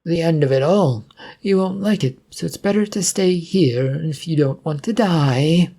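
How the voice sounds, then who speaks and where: A man's voice: on the high side, a little slow, warm tone, voice pushed up. Man voicing an ominous disembodied voice. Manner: insistent, ominous. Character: disembodied menacing voice trapping travelers.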